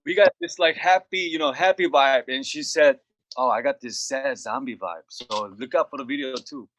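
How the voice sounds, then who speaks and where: A man's voice: medium pitch, normal speed. Laid-back man telling studio stories. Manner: relaxed storytelling, fillers like "you know". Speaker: label head and producer guest. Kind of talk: casual interview over video call. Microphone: video call.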